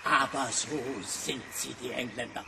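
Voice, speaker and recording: An actor playing an excitable, grandiose French gentleman in a radio drama, effusive and fond of exaggeration. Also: mid-range voice, unhurried, gravelly, exaggerated voice. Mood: marvelling. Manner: effusive, theatrical. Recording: slightly distant, faint outdoor noise.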